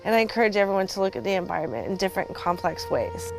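A woman speaking earnestly and haltingly in a documentary interview, reflecting on loss and the land. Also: medium pitch, big pitch swings, creaky, music in the background, low-quality recording. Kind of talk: reflective personal testimony.

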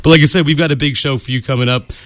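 A man with a slightly raspy voice hosting a casual sports radio show from a studio booth, rambling and upbeat with lots of fillers. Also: mid-range voice, steady tone, very fast, dry recording. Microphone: studio mic.

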